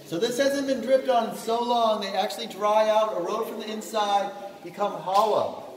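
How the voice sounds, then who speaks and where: A man with a very high-pitched voice gives a guided tour in a plain, explanatory way. He is heard from a distance, with long cave reverb around his words. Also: steady tone, normal speed. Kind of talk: guided tour commentary to a group. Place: inside a show cave. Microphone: distant handheld camera. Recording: echoey cave, distant handheld camera, noisy.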